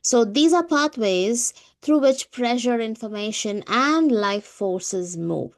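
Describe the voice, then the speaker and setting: A woman's voice: fairly high pitch, expressive, slow. A woman co-hosting a conversational spiritual talk, explaining things and often checking in with a questioning "right?". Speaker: podcast co-host.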